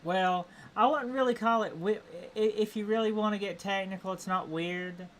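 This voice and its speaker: A man from Appalachia with an Appalachian accent, chatting casually and haltingly while streaming a game. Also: high voice, fairly bright tone, slight background noise. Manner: rambling, hesitant, chuckling.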